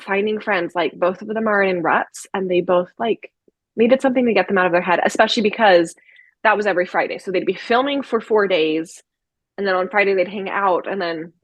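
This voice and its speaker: A woman's voice: mid-range voice, no echo. A woman chatting casually and enthusiastically as a co-host of a book discussion, peppering her talk with "like". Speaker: book review show co-host.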